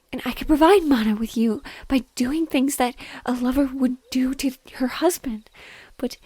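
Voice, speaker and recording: A woman voicing a mournful, gently loving banshee in a breathy whisper, slow and tender, as in an intimate audio roleplay. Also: fairly high pitch, dry recording. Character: sorrowful female banshee, gentle and lovingly devoted.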